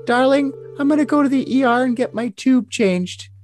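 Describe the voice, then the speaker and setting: A man's voice: extremely high pitch, moderate pace, darkish tone. A man voicing a lovesick, scheming young woman in a cutesy, coy, overly dramatic comic reading of messages. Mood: giddy, affectionate. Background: music.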